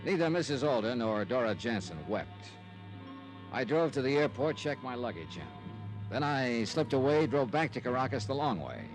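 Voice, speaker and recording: A man plays a hard-boiled American investigator from a golden-age radio serial. He speaks in terse, wry, tough lines at a middling pitch. Music runs behind the old recording. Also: expressive, moderate pace, natural voice, poor audio. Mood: dry narration. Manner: terse, dry, tough-talking. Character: hard-boiled, wry male insurance investigator narrating his case. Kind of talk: old-time radio detective drama narration and dialogue.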